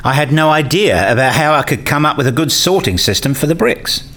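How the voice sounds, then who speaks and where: A man playing a keen, inquisitive learner in a radio play, questioning and candid, quick to challenge what he is told. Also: fairly high pitch, animated, a bit bright. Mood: curious, analytical.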